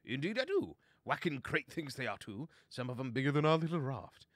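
A man's voice: mid-range voice, sing-song, brisk, no echo, voice pushed up. A man voicing a chatty upper-class animal adventurer in a storybook, jolly and pompous.

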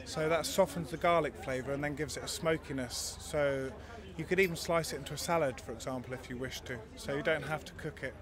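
A man in his thirties answers questions at a stall under a marquee, his voice sitting a little high for a man. He explains in a friendly, easygoing way, sometimes restarting his sentences. The sound comes through a handheld mic at an outdoor show. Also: a little fast, crowd noise. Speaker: garlic farm stall worker. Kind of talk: casual interview answers. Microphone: handheld mic held by the interviewer.

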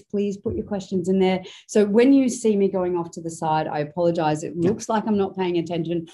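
A woman in her forties hosts a webinar with a rough, hoarse voice pitched very low and darkly. She talks chattily and welcomingly from a studio. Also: warm tone. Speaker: webinar host. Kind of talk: hosting a live webinar. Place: studio with branded backdrop.

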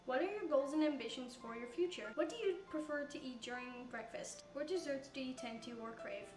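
A teenage girl from Canada with a high-pitched voice, chattily answering fan questions in a casual Q&A. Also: fairly quick, echoey room, soft background music, rough recording. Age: teens. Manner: chatty, rambling with fillers. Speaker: YouTuber answering Q&A. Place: room with couch, recorded in a reacted video.

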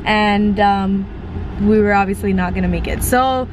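A woman's voice: mid-range voice, moderate pace. A woman in her twenties chatting casually to a camera in a bubbly, giggly, rambling way. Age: 20s. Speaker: vlogger. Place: inside a car at night. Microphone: camera at arm's length. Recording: noisy car interior, handheld camera.

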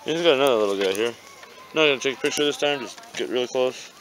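A man's voice: mid-range voice, fast talker, a bit bright, poor audio. A man co-hosting a fishing vlog, making brief, laid-back, casual remarks on the catches. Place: outdoors on a wooden lake dock. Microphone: handheld camera mic. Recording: clear outdoor noise.

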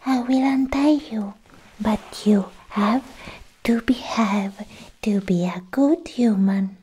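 A young woman playing a sweetly possessive, obsessed alien girlfriend in a whispered, coaxing ASMR roleplay, speaking close to the mic in halting phrases. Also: medium pitch, mellow. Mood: doting, possessive. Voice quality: breathy, mostly whispered. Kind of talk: soft-spoken ASMR roleplay. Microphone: close ASMR microphone.

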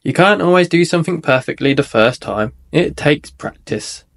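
A man's voice: a little high, expressive, moderate pace, a bit bright. Man playing a kind gazelle teacher.

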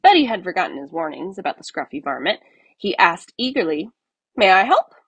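A woman narrates a children's story with lively, swinging intonation. Her voice is slightly breathy and husky, recorded dry and close. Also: fairly low pitch, brisk, no echo, muffled recording. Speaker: storybook reader. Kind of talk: expressive children's read-aloud narration.

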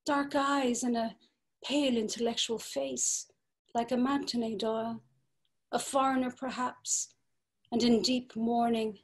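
A woman's voice: a little high, flat delivery, measured pace. A woman voicing a romantic young Irish woman in a dramatic reading over a video call, dreamy and at times whispering. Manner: breathy, dreamy, sometimes whispered.

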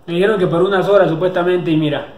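A young man joking excitedly in slangy casual chat as he reacts to a series, from a small room on a webcam. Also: high-pitched, very fast. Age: young adult. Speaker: reaction video host. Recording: echoey room.

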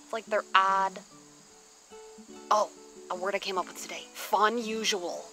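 Female vlogger, mid-pitched, gushing and exclamatory casual show-and-tell delivery, outdoor recording with music underneath. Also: little pitch movement, moderate pace, bright, forceful tone. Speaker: vlogger showing thrift finds. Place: outdoors on a patio or deck at a table.

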